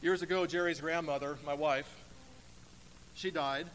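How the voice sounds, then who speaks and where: A man delivers a sermon in a bright, forceful voice pitched high for a male speaker. He phrases deliberately and emphatically, leaving dramatic pauses. The church hall adds long reverb, with faint noise underneath. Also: steady tone. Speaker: preacher. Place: church sanctuary, indoors.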